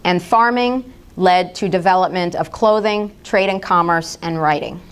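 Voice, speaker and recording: Middle-aged woman speaker at a public talk, direct and impassioned, a smooth, slightly low voice. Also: normal speed. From United States. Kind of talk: public lecture.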